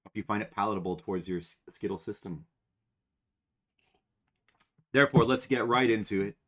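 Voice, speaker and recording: A man's voice: fairly low pitch, normal speed, fairly bright tone. A man in his forties, a game streamer, chatting casually and ramblingly to his viewers at home, with self-mocking humor. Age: 40s.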